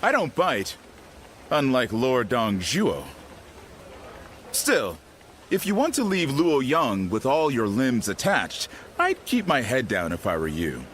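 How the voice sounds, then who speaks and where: Sly strategist, very swooping, drawling delivery. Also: male voice, mid-range voice, wildly swooping pitch, slow pace, a bit bright, slight background noise, heavily acted. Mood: teasing warning. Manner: teasing, smooth, casual. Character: sly, affable male strategist serving a warlord. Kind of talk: video game dramatic acting.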